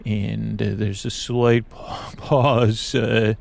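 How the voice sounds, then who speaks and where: A man hosting a sleep podcast in a creaky voice, hesitant and meandering as he introduces things and corrects himself. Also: fairly low pitch, level tone, extremely slow. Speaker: podcast host.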